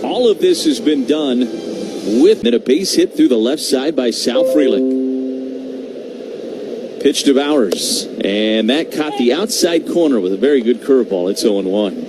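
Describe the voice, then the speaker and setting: High-voiced male baseball radio announcer. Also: animated, unhurried, loud music behind the voice. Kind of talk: live radio sports commentary.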